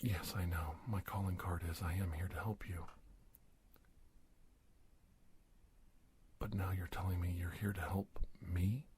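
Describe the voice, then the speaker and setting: A man playing a courteous, startled investigator doctor in an ASMR roleplay, speaking in a hushed, breathy, stammering way. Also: low-pitched, steady tone, a little hiss or hum, close to an everyday voice. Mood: earnest, attentive. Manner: halting, stammering, formal and courteous. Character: startled, polite investigator doctor facing an alien. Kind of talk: ASMR roleplay monologue, soft-spoken and whispery.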